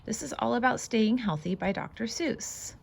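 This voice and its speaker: A woman reading a children's picture book aloud as host, expressive and sing-song, stressing the rhymes and adding casual asides. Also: a little high, normal speed, gravelly, breathy edge.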